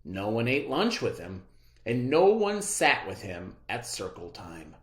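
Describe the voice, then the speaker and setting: A read-aloud storyteller narrating a children's book with dramatic, expressive emphasis. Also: medium pitch, unhurried, reverberant.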